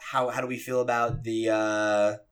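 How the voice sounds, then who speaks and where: A man's voice: lowish voice, little pitch movement, moderate pace, clean tone. A man hosting a podcast, reasoning aloud in casual, informal discussion. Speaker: podcast host.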